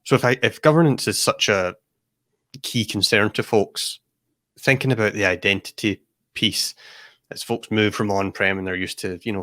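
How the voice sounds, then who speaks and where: A man with a moderately low voice hosts a casual technical interview. He builds his questions thoughtfully and at length, and the recording is dry and free of room sound. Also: bright, forceful tone, dry recording. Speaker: podcast host.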